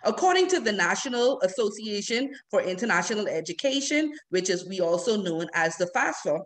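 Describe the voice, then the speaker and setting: A young woman from the Bahamas, reading a prepared student presentation over a video call, earnest and steady with occasional slips. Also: mid-range voice, a little fast. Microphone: laptop webcam on a video call.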